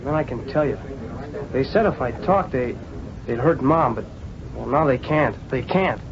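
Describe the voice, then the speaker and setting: A male actor playing an earnest, excitable working-class boy in an old radio drama, slangy and halting, on a muffled recording. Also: medium pitch, a crowd in the background, lightly acted. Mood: earnest, hesitant. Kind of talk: 1930s-40s radio drama acting.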